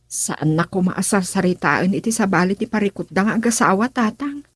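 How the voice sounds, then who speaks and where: A woman with an Ilocano accent playing a concerned grown daughter in a radio drama, with a husky voice. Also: medium pitch, fairly quick, no echo, clean studio sound, lightly acted. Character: concerned grown daughter confiding in her father.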